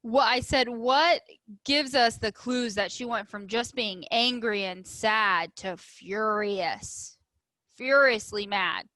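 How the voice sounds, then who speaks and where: Bright-voiced, unhurried woman teacher reading aloud. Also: on the high side, dry recording, low-quality recording. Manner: emphatic, instructive, addressing children. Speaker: elementary school teacher. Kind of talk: expressive storybook read-aloud with questions to pupils.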